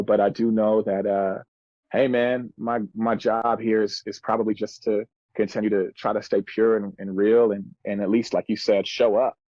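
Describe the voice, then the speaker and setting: A man's voice: lowish voice, a little mellow, no echo, dull audio. A man in his thirties telling stories casually as a musician guest on a podcast, in laid-back, slangy, earnest and reflective speech. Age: 30s.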